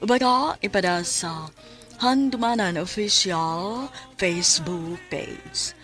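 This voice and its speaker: Casting: female Cebuano radio announcer, mid-pitched, unhurried, formal scripted delivery with music beneath. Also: fairly bright tone. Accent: Cebuano Filipino.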